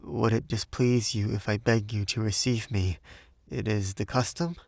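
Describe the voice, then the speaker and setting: A man's voice: lowish voice, fairly even intonation, normal speed, gravelly, no room sound, clean studio sound. Man reading as a polite, eager young American man. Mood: apologetic, gentle. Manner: courteous, earnest, boyish. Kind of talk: audiobook character voice.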